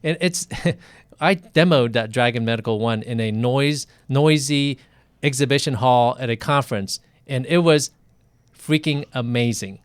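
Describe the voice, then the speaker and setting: A man's voice: medium pitch, unhurried, clear, resonant tone, no echo. A man hosting a casual live video interview, talking enthusiastically with many restarts and fillers. English is not his first language.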